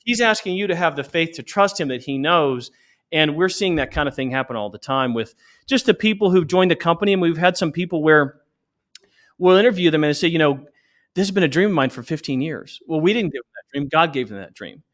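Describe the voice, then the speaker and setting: A man speaking as a company founder in an interview, earnest and encouraging as he makes his pitch. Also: expressive, brisk, a bit bright. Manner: earnest, exhorting. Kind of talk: earnest promotional interview talk.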